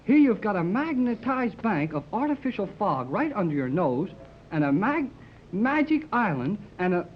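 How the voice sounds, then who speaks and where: An actor playing an eager, impulsive American boy adventurer in a 1930s radio serial, excitable and full of exclamations. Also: high-pitched, moderate pace, a little hiss or hum. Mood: eager, impatient. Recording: dull old 1930s radio recording.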